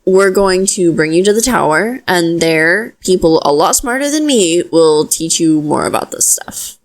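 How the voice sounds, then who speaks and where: Woman playing a lowish-voiced, reassuring young witch. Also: lively intonation, a little slow. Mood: patient, explaining. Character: young witch, protective, self-deprecating, gently explaining and reassuring. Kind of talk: audio roleplay addressed to the listener.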